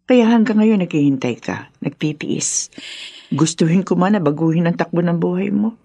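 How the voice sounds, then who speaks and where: A woman playing a caring, patient older mother and grandmother with a husky voice in a Filipino radio drama. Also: lowish voice, normal speed, mellow, no echo, lo-fi sound. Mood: frank, resigned. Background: background music.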